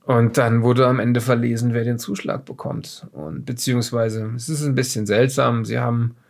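A man playing an evasive, rambling researcher under questioning in an improvised radio play, speaking haltingly and backtracking. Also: medium pitch, level tone, gravelly, darkish tone. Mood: hesitant, explaining. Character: evasive, rambling male researcher being questioned after strange events. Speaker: player in an audio-drama let's play of a solo horror adventure.

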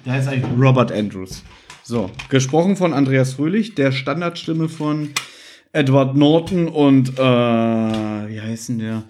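A man's voice: mid-range voice, unhurried, darkish tone, a little hiss or hum. A man hosting a casual chat about audio dramas, explaining things with some teasing banter. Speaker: podcast host.

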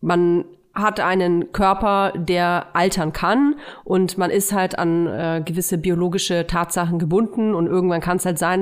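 A woman in her thirties, co-hosting a podcast in casual, self-mocking chat with loud laughs. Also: fairly low pitch. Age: 30s. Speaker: podcast co-host.

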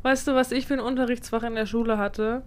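A woman's voice: on the high side, fairly even intonation, fast talker. A woman co-hosting a podcast in a casual, conversational chat. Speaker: podcast co-host.

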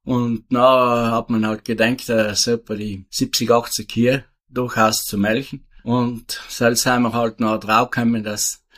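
Older South Tyrolean farmer, halting. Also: male voice, mid-range voice, level tone, fairly bright tone. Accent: South Tyrolean German. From South Tyrol, Italy. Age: 70s. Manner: rambling, halting, with many restarts and fillers. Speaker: retired farmer, guest. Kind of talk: casual interview answers.

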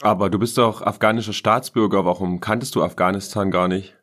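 A man with a fairly low voice co-hosts the podcast. He puts direct, probing questions to the guest. His manner stays matter-of-fact. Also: steady tone, a little fast, a bit bright. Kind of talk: interview questions.

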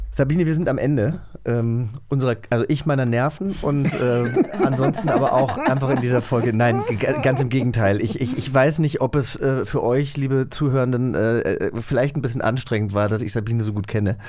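A man's voice: medium pitch, normal speed, a little mellow. Guy cohosting a podcast, mid voice, jokey teasing chat, bit rambly. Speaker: podcast co-host. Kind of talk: casual, joking podcast chat.

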